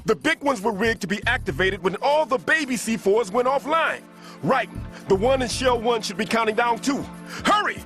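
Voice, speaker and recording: An actor playing a grave, fatherly, self-sacrificing bomb disposal expert in a dramatic video game, with measured, instructive delivery. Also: very high-pitched, animated, bright, forceful tone, exaggerated voice. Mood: urgent, alarmed. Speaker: explosives expert. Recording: dramatic music behind the voice.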